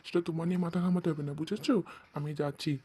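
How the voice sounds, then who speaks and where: A man's voice: a little high, steady tone, rapid-fire, darkish tone, slightly rough. A man voicing a doting, insistent father in an amateur cartoon dub, speaking. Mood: indignant, scolding. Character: doting father of a village girl, quick to scold his wife. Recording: noisy amateur home recording.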